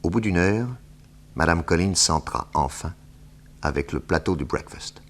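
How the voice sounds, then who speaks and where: An actor playing a bold, self-assured detective hero who narrates and acts in a 1960s French radio drama. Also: male voice, low-pitched, moderate pace. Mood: composed storytelling.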